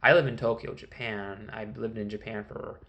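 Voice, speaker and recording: A man's voice: lowish voice, steady tone, normal speed, a bit bright, highs cut off. A man from Connecticut in his thirties, rambling through a casual solo monologue with many fillers and restarts. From Connecticut, USA. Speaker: vlogger.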